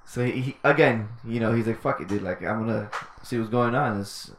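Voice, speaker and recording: A man's voice: lowish voice, brisk, reverberant, slight background noise. A man hosting a podcast, telling a story in a laid-back casual way with lots of fillers and swearing. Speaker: podcast host.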